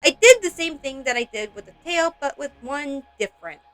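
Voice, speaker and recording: Bright, high-voiced woman vlogger. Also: animated, moderate pace, low-quality recording. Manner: rambling, self-deprecating, exclamatory. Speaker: doll customization video creator. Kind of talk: casual craft vlog narration.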